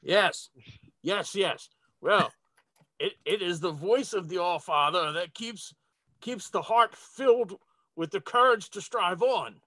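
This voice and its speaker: A man's voice: sits high, slow, pushed and bright, dry recording. A man playing a tabletop roleplaying game, speaking in character with formal, lofty phrasing.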